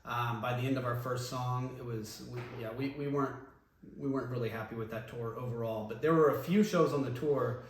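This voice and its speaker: A man, a former band singer, reminiscing casually in an interview, relaxed and rambling with many ums and restarts. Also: mid-range voice, a little fast. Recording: echoey room, voice from a distance, faint noise.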